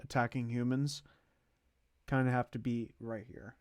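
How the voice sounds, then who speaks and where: Man, streamer, slow halting delivery, thinking aloud, mid-pitched voice, close dry sound. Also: little pitch movement, a little mellow, clean tone, dry recording. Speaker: streamer hosting a movie tier list. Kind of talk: casual livestream commentary.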